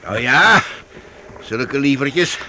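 Casting: wry medieval vagabond, sharp bright tone, lively pitch, radio drama with faint noise. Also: male voice, extremely slow, full character voice. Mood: wry, explaining. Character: streetwise male medieval vagabond from Paris. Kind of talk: Dutch radio drama acting.

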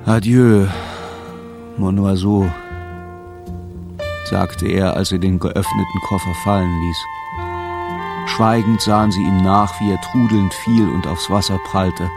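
A man narrating a radio drama as a sombre, measured storyteller. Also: deep voice, fairly even intonation, over music.

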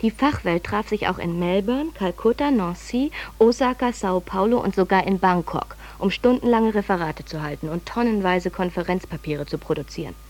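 A woman's voice: mid-range voice, moderate pace, few pauses, a little mellow, natural voice. Actress doing a frazzled lady reporter reading out her letter, wry and dramatic, radio play style. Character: overwhelmed, self-ironic woman journalist, a pedantic researcher. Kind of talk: radio drama monologue, letter read aloud.

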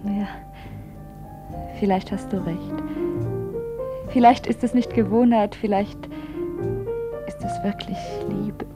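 A woman playing a frail, loving wife in a 1950s radio play, hesitant, hushed and wistful. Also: medium pitch, steady tone, takes it slowly, long pauses, lightly acted. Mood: tender, wistful. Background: piano music.

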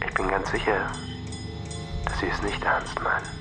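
An actor playing a polite, coaxing schemer in a radio crime drama, speaking persuasively. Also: male voice, sits low, flat delivery, moderate pace, over music, close to an everyday voice, low-quality recording. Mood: smoothly coaxing. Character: polite, coaxing male schemer pushing a deal.

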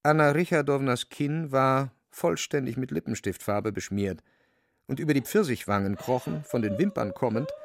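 An actor as the storyteller of a radio play, narrating in a measured, wryly dramatic way with a creaky voice. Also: male voice, mid-range voice, animated, no room sound, studio quality, close to an everyday voice.

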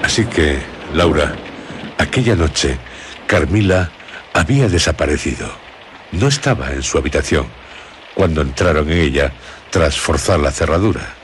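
A man with a Castilian Spanish accent hosting radio drama, narrating solemnly in deliberate, suspenseful short phrases. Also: a bass voice, expressive, background noise. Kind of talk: solemn scripted horror narration. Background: prominent music.

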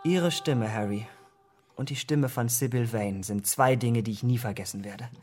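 An actor playing an impressionable, vain young gentleman in a German radio drama, speaking in an earnest, rapturous way. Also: mid-range voice, moderate pace, close to an everyday voice. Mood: enraptured.

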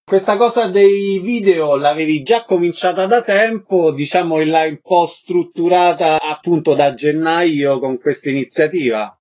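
Higher-pitched man hosting radio, dull audio. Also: measured pace, hardly any pauses, a little mellow. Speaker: radio host. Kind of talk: live radio interview.

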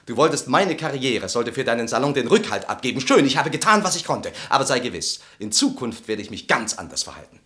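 An actor playing a resentful, self-absorbed, brooding husband in a German literary radio play, with an intense, articulate, theatrical delivery. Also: male voice, medium pitch, sing-song, fairly quick, few pauses, a bit bright.

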